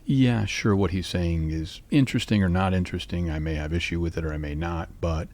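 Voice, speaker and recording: A man in his fifties from North Carolina, hosting a rambling solo monologue in a sleepy, calm and even voice. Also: fairly quick. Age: 50s. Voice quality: low, sleepy voice. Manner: calm, musing, even delivery. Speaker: podcast host.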